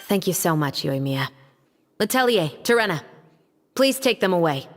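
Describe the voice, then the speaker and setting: An actress voicing a composed, principled young female law officer in a game cutscene, speaking in an earnest, deliberate, formal way. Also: lowish voice, big pitch swings. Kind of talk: dramatic video game cutscene acting. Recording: long reverb, music underneath.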